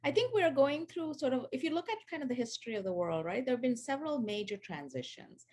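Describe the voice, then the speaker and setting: A woman's voice: sits high, big pitch swings, quick pace, darkish tone, a little hiss or hum. A woman in her forties from Sri Lanka, chatting as an interview guest with lots of fillers and restarts. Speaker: guest, AI education founder. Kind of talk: casual interview over video call.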